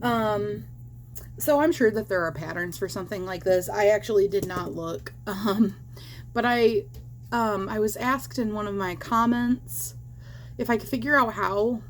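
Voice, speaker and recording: Woman, slightly hoarse, rambling casual crochet chat, home recording, faint hum. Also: mid-range voice, a little slow. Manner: rambling and chatty, with many fillers and little laughs. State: slightly hoarse, losing her voice. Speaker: crochet vlogger.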